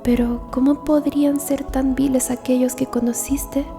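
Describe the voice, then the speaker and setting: A woman narrating a scripted ASMR story in a soft, hushed, breathy whisper. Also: high-pitched, little pitch movement, normal speed, over music.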